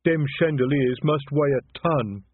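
A big, slow-witted troll from an audiobook. He plods through ungrammatical sentences with earnest pride in his culture. The sound is thin and narrow, like a phone. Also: on the high side, slightly rough, no echo, voice pushed up. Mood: impressed. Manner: ponderous, uneducated, ungrammatical speech. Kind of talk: audiobook character voice.

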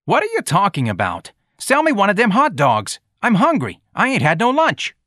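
A man's voice: high-pitched, huge pitch swings, normal speed, fairly bright tone, dry recording, clean studio sound, voice pushed up, exaggerated voice. A man voicing a surly, sneering teenage boy with brash, slangy speech, in an audiobook story. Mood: demanding.

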